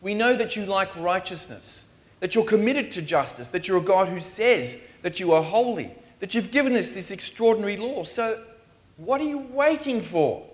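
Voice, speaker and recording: Male preacher in a church, earnest and pleading, voice a bit on the high side. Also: lively intonation, moderate pace. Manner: earnest, rising to pleading questions. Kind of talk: sermon. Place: church in Australia.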